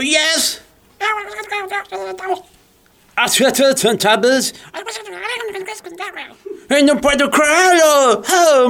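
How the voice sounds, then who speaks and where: A greedy, gleeful foreign businessman for a radio play. The voice is high and the delivery slow, over faint noise. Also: a bit bright, full character voice. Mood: gleeful, overcome.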